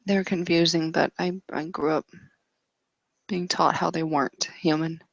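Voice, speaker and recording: A woman playing a nervous, defiant female fighter who hides her fear behind halting, defensive speech. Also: low-pitched, steady tone, vocal fry, no echo, lo-fi sound, exaggerated voice. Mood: halting, guarded.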